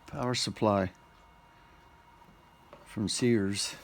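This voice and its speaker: A man giving casual, unscripted unboxing commentary as a vlogger, speaking in short clipped remarks with pauses. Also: lowish voice, steady tone, vocal fry, slight background noise.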